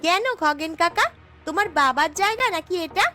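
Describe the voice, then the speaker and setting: A woman's voice: very high-pitched, animated, fairly quick, sharp tone, some chatter behind, exaggerated voice. A woman voicing a poor young village granddaughter with a girlish, aggrieved manner in a fairy-tale cartoon. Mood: cheeky, defiant. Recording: background music throughout.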